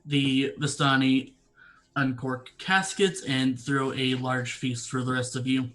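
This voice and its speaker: A man running a tabletop game as dungeon master, narrating in a measured, even storytelling voice from a home setup. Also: medium pitch.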